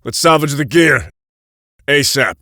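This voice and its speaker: An actor playing a terse, commanding wartime military pilot who leads a commando squad in an audio drama. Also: male voice, mid-range voice, expressive, unhurried, bright, forceful tone, dry recording, studio quality. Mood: brisk command.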